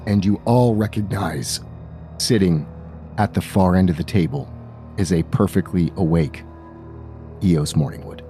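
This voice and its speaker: A man narrating a tabletop fantasy game as game master, setting scenes in a measured, deliberate way. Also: low voice, dark tone, faint music behind.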